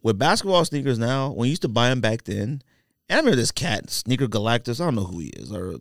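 A man hosting a casual sports-style chat in slangy, opinionated, animated talk. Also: mid-range voice, fast, creaky, dry recording, clean studio sound. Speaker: podcast host. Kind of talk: casual sneaker and basketball chat.

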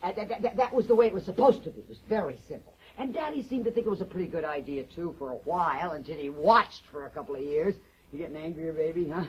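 An actress playing a bitter, taunting middle-aged wife in a stage drama, mocking and theatrical with drawn-out emphasis. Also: female voice, deep voice, dull audio, slight background noise, lightly acted. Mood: goading. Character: bitter, taunting middle-aged wife telling stories about her husband.

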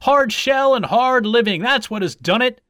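A man acts out excitable storybook beasts cheering on their champion. The voice jumps high and loud, with swooping, rallying slogans. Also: unhurried, dry recording, studio quality, exaggerated voice. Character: excitable crowd of partisan animal supporters. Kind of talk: storybook character voice.

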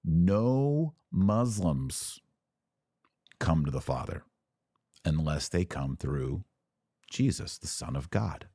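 A man with a low voice hosts a religious podcast in a very dry recording. He speaks informally and directly to his listeners. His delivery is conversational, with firm emphasis on his points. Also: animated, takes it slowly, long pauses, mellow, breathy edge, no room sound. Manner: emphatic, conversational, acts out imagined dialogue.

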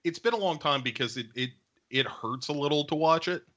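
A man's voice: a little high, a little fast, fairly bright tone, dull audio. A man on a podcast, casually chatting in a joking, sarcastic way. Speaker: podcast guest. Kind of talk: casual chat.

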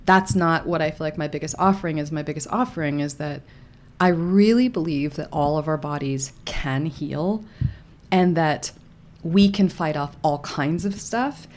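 Soft-spoken reflective woman, lowish voice. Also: moderate pace, a little hiss or hum. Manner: soft, reflective, many fillers and restarts. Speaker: guest. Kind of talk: casual conversational interview.

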